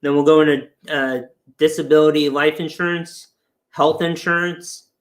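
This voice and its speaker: Man, 40s, financial planner, slightly higher pitch, relaxed explainer on a video call. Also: normal speed, a little hiss or hum. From England. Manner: explanatory, relaxed, laughs easily. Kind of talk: casual webinar explanation. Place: home room, indoors.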